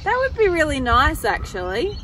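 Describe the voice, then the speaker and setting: A man putting on a soft, timid falsetto for a shy, lonely woman. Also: wildly swooping pitch, normal speed, heavily acted.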